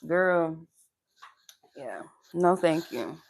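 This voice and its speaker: Young adult woman, low pleasant voice, frank and profane, casual storyteller, close mic. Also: lively intonation, very slow, creaky. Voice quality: soothing, pleasant voice. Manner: laughs while talking. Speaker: live tarot reader. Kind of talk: casual live-stream chat.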